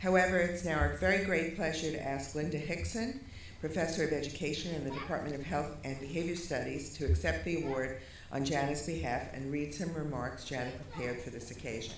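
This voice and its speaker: Low-voiced woman presenting, distant and echoey. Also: fairly even intonation, brisk. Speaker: university president presenting an award. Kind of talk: formal ceremony presentation. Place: large ceremony hall. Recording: very echoey hall, distant mic, faint noise.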